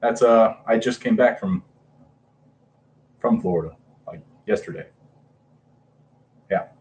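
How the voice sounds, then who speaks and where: A man in his thirties with a fairly low voice, chatting loosely on a home livestream. He greets viewers by name, trails off and fills gaps with "uh". A faint background hiss sits under his voice. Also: slow pace, darkish tone. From Hawaii. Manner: chatty, reads and greets viewers, hesitant fillers. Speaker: comic book livestreamer. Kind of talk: casual livestream chat.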